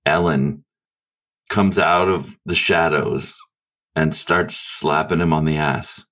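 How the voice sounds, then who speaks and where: A man playing a tabletop game, telling his character's actions with slow amusement. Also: rumbling low, steady tone, a bit bright. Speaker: player.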